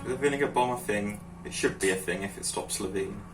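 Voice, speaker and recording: A man's voice: on the low side, fast, roomy sound, recorded from a distance, poor audio. A man giving casual reaction commentary as a video host. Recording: off-mic, show soundtrack music behind.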